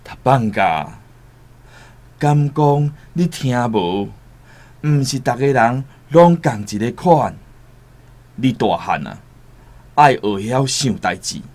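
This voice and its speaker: An actor playing a stubborn, reasoning older brother with a Taiwanese accent and a measured, admonishing manner in a radio drama. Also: male voice, mid-range voice, expressive, a little mellow.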